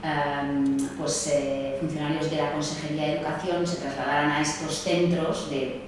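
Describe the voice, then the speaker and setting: Low-voiced woman in an echoey hall. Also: little pitch movement, moderate pace, noisy background. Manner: hesitant, many fillers and tag questions. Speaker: sociologist speaking at a seminar. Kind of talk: seminar talk. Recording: echoey distant room mic, faint ambience.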